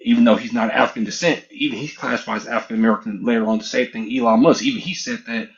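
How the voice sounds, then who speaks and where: A man's voice: medium pitch, fairly even intonation, racing, gravelly. Middle aged guy ranting in an online room, pushy, rambles and repeats himself, dull muffled mic. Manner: assertive, rambling, repetitive. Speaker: audio room speaker. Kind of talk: casual heated argument.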